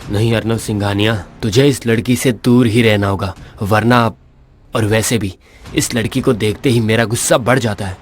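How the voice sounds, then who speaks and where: An actor playing an arrogant, short-tempered, brooding company boss in a Hindi audio romance drama. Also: male voice, lowish voice, steady tone, moderate pace, soft background music, close to an everyday voice.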